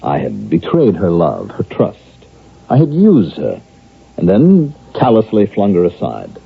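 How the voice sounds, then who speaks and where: A distraught accused killer, swooping voice. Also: male voice, on the low side, wildly swooping pitch, unhurried, vocal fry, breathy edge, exaggerated voice. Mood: bitter, defensive. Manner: emotional, evasive, defensive. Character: distraught accused killer, weary defiant psychiatric patient. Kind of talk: vintage radio drama acting. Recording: muffled phone-like old radio recording.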